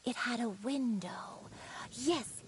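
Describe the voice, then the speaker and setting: A woman voicing a gentle, wistful young woman who speaks softly and haltingly. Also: on the high side, a little hiss or hum. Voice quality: soft, hesitant, halting speech. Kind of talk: video game voice acting.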